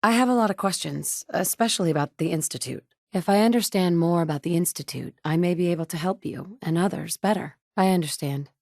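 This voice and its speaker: A wry, determined game heroine. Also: female voice, on the low side, a little fast, gravelly, hardly any pauses, darkish tone, no echo. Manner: dry wit, blunt and curt when annoyed. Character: determined mother searching for her son, wry. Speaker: player character. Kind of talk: game dialogue lines. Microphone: close studio mic.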